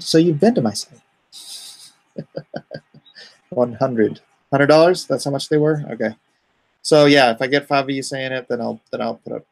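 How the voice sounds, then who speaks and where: A middle-aged man streaming live from home, reading chat aloud and answering it in an easygoing, even-tempered way. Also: mid-range voice, creaky, breathy edge, poor audio. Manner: calls himself soothing and even-tempered; reads chat comments aloud and answers them.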